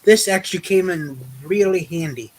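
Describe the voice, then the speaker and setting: Man, about 30, stream guest, hesitant and rambling, slightly high, faint background hiss. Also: moderate pace, rough recording. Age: 30s. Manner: hesitant, many fillers. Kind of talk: casual chat.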